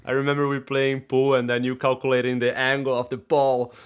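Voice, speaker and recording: A man from Brazil, speaking English with a Brazilian accent as a podcast co-host, easygoing and quick to laugh, with hesitant restarts. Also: medium pitch, completely flat delivery, clear, resonant tone. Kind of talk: casual chat.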